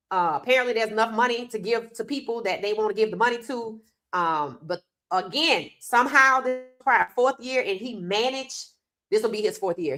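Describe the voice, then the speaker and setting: A woman's voice: on the high side, fairly bright tone. Congested woman hosting a sports podcast. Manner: chatty, loose and conversational, talking straight to viewers. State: congested from a cold. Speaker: podcast host. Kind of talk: casual sports commentary.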